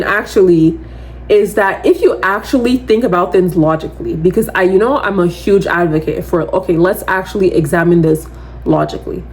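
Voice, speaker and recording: Woman, twenties, loud voice, medium pitch, podcast host, rambling casual chat, lots of "like". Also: poor audio. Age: 20s. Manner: chatty, rambling, heavy use of "like"; animated, slips into quoting others. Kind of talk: casual solo chat to camera.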